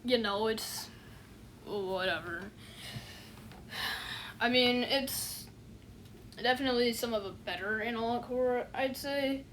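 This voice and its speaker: A woman's voice: on the high side, takes it slowly, long pauses, fairly bright tone, slight background noise. A woman giving a casual spoken review, rambling with many fillers and a dismissive, unimpressed manner. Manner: rambling, heavy fillers, repeats "you know what I'm saying". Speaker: online reviewer.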